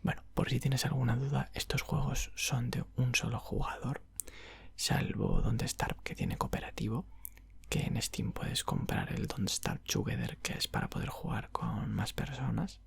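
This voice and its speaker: A man playing a friendly young game shop clerk in an ASMR roleplay, with a Castilian Spanish accent. He murmurs in a very breathy whisper that often breaks into heavy creak. The delivery is informal and explanatory, recorded dry and very close to the mic. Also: mid-range voice, very animated, measured pace, no echo, heavily acted. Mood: soft, friendly, relaxed. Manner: informal, explanatory, frequent check-in tags. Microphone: close microphone.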